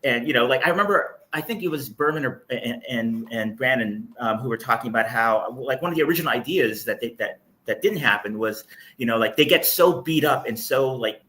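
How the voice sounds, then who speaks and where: A man's voice: medium pitch, lively intonation, a little fast, sharp tone. A man chatting casually and enthusiastically as a fan on a panel. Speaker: guest panelist.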